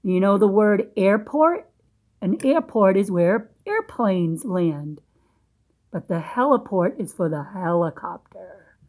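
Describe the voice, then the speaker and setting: A woman reading a storybook aloud to young children, pausing in a teacherly way to ask questions and explain things simply. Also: mid-range voice, lively intonation, a little mellow.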